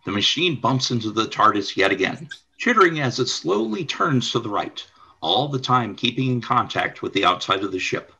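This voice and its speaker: A man narrating a scripted radio play as its announcer, in a dramatic, measured storytelling manner. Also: mid-range voice, big pitch swings, sharp tone, breathy edge, rough recording.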